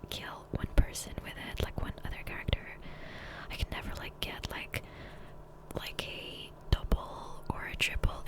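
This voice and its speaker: Whispering woman, soft ASMR ramble. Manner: casual, unhurried rambling. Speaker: ASMR creator. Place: voiceover recorded separately from gameplay. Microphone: Blue Yeti USB microphone, close.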